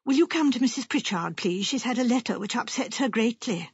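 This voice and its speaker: A woman's voice: fairly high pitch, lively intonation, a little fast, husky, dry recording, highs cut off, full character voice. A woman voicing a practical, suspicious nurse with an earnest, matter-of-fact manner in an audiobook story. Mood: grave. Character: grave, practical, shrewdly suspicious female nurse. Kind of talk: audiobook character voice.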